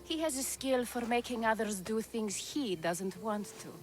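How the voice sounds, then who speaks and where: A woman as a smug, scheming high-voiced bride. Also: animated, moderate pace, lightly acted. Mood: knowing, bored. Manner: smug, dismissive. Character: vain, scheming, ambitious young bride-to-be. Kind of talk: video game cutscene acting.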